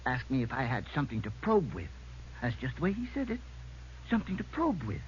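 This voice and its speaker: An actor playing a mild, helpful neighborhood druggist in a 1950s radio drama, telling things in a folksy, chatty way. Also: male voice, medium pitch, big pitch swings, brisk, lightly acted. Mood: helpful, recollecting. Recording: muffled old radio recording, faint hum.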